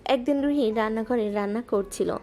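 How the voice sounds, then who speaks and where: A woman gently narrating a scripted romantic audio story. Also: on the high side, moderate pace. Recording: music bed underneath.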